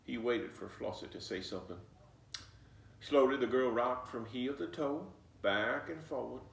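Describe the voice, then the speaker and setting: A man reading a storybook aloud to children in an animated, expressive way. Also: medium pitch, measured pace, creaky. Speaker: storybook reader. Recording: roomy, echoing, distant microphone.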